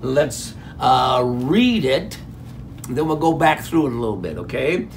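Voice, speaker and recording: A man in his sixties, a pastor, preaching an animated, folksy sermon from a room at home, often stopping to ask "okay?". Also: medium pitch, a little slow, vocal fry, a little hiss or hum. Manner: animated, gesturing with raised hands. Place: home room before a decorated fireplace.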